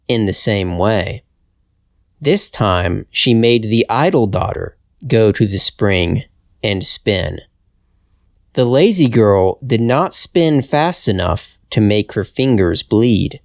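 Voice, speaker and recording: Slow, expressive man telling a fairytale. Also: on the low side, no room sound, studio quality. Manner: deliberate, expressive, carefully phrased. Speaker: storyteller, narrator. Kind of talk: slow scripted fairytale narration for English learners.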